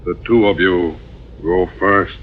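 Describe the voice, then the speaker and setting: An actor playing a sinister, taunting guard of a sacrificial pit, giving ominous, deliberate commands in a 1940s radio adventure. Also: male voice, low-pitched. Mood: cold command. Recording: echoey, muffled, hissy old radio recording.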